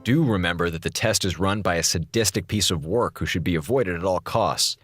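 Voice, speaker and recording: An actor playing a flippant, sarcastic slacker communications officer on a space station in an audio drama. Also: male voice, deep voice, brisk, fairly bright tone, no room sound, soft background music. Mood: wry, sarcastic.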